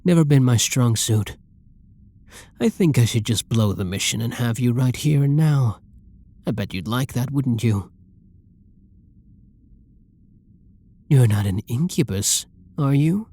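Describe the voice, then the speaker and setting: Performer playing a husky, breathy, flirty villain. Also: mid-range voice, lively intonation, normal speed, mellow. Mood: impatient, flirty. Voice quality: breathy, husky, often dropping into whispers. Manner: teasing, drawling, pet-name-laden purr. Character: flirty, dominant, possessive yandere villain teasing a hostage. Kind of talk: intimate roleplay ASMR acting.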